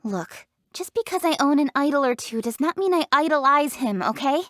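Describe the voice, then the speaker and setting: A woman's voice: high voice, lively intonation, moderate pace, no echo, studio quality. An actress playing a confident, proud young woman leader in a fantasy video game, speaking in an articulate, earnest, self-assured way. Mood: flustered, defensive. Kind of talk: scripted video game character voice lines.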